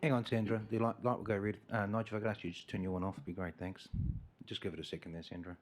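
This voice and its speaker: Nz guy chairing a council meeting, low voice, talks fast, keeps it moving. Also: flat delivery, slight background noise. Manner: measured, chairing and thanking speakers.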